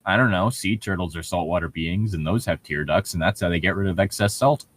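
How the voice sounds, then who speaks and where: A man's voice: sits low, a little fast, a bit bright. A man co-hosting a comedic read-aloud of fan fiction, casually riffing with sarcastic jokes and frequent laughter. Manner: jokey, sarcastic, laughs often.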